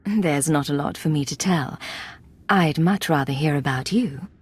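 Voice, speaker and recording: A woman playing a guarded, composed intelligence agent in a video game, with a husky voice and a cool, controlled manner. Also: low-pitched, a little slow. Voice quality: slightly husky. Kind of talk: video game cutscene acting.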